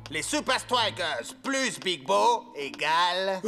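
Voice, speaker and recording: A man's voice: sits high, very animated, takes it slowly, pushed and bright, faint music behind, exaggerated voice. A man playing a greedy, gloating cartoon villain with a sing-song delivery. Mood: gloating, scheming. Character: greedy money-obsessed man villain, gleeful plotter. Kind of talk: French cartoon dub acting.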